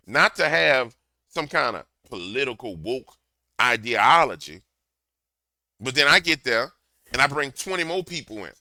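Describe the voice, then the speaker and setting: A man with a booming, very bright and forceful voice delivers a loud, slangy rant, repeating himself for emphasis. His pitch sits in an ordinary middle range, and the recording is close and dry. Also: very animated, measured pace, long pauses, no room sound. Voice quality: big booming voice. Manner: loud, slangy, repetitive, heated. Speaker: commentator reacting to a clip. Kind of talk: ranting reaction commentary. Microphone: desk microphone.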